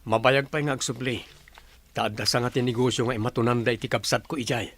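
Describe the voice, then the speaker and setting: Blunt, bright-voiced young businessman. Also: medium pitch, steady tone, quick pace. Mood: dismissive. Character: stubborn young businessman dodging marriage. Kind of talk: radio drama acting.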